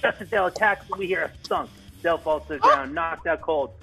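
Guy reading script directions, high raspy voice, informal, trips over words and ad-libs, faint music behind. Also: very animated, normal speed, sharp tone. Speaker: narrator reading stage directions. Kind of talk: scripted narration at a comedy table read.

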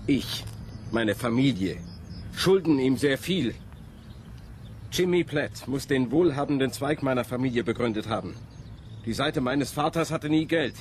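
An actor playing a troubled, intense young male student in a radio drama, speaking in a brooding, halting way. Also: mid-range voice, expressive, a little hiss or hum, lightly acted. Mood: agitated. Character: troubled young male student haunted by his ancestor's memories. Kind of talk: German radio drama dialogue.

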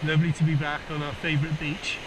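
Middle-aged angler with a high voice, wry and relaxed, recorded on a body cam over roaring waves. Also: male voice, fast talker. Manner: relaxed, wry, understated commentary. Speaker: fishing vlogger. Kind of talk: casual narration while fishing. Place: open shingle beach by crashing surf. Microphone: action camera worn on the body. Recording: outdoor action cam with loud surf. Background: loud waves and wind.

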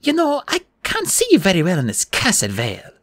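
A woman's voice: mid-range voice, wildly swooping pitch, normal speed, no echo, clean studio sound, pitched above the performer's own voice. A woman voicing a sinister old female monster in a storybook, coaxing and purring with a snarl underneath. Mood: falsely sweet. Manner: coaxing purr that breaks into snarls.

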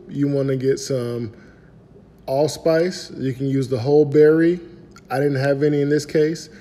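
A man's voice: medium pitch, warm tone. Middle-aged American man in a reverberant room. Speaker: home cook hosting a cooking vlog. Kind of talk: casual recipe walkthrough to camera. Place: indoor home kitchen. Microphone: phone at arm's length.

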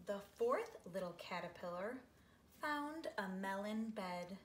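A middle-aged woman reads a children's picture book aloud at a medium pitch. Her phrasing is expressive and drawn out, addressed to young listeners. The recording is roomy, made at home with some background noise. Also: a little slow. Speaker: read-aloud storyteller for children. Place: small living room, seated on a couch.

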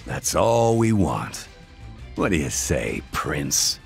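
Gravelly, deep, arrogant warlord. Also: male voice, big pitch swings, slow, soft background music, exaggerated voice. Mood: taunting. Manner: taunting, drawling, mock-polite. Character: arrogant, menacing male warlord of an invading army. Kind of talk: video game voice acting.

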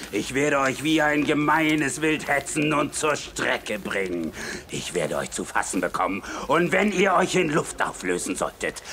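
An actor playing a proud, vengeful and crooked army colonel in a theatrical radio drama. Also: male voice, medium pitch, sharp tone, a little hiss or hum, exaggerated voice. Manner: haughty, theatrical. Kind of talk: German radio drama acting.

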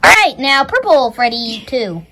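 A child voicing a bossy, self-important animatronic chicken chef, announcing cooks in improvised play in a halting manner. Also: medium pitch, big pitch swings, sharp tone, a little hiss or hum.

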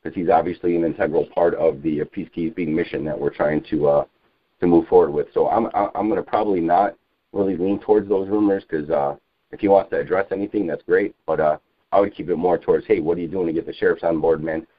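Male activist, very low voice, rambling and insistent political talk, narrowband dry phone audio. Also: steady tone, no echo. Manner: halting, repetitive, insistent, peppered with you know. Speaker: civilian activist on a group conference call. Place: telephone conference call. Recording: muffled narrowband phone conference line.

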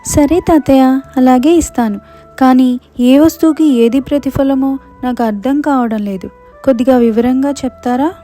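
A woman as a high-voiced innocent little girl. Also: normal speed, mellow, soft background music, natural voice. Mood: innocent, polite. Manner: childlike, eager, polite. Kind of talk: moral story cartoon dialogue.

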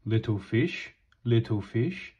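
Man, bedtime storybook reader, husky edge, singsong, wide rise and fall in pitch. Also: lively intonation, slow pace, dark tone, dull audio. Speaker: children's book reader. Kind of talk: bedtime picture book read-aloud.

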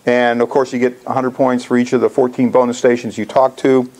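A man's voice: medium pitch, flat delivery, a little fast, a little mellow. Older American man giving an informal presentation. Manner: casual, explanatory. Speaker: contest organizer presenting to a club. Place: lecture hall, indoors.

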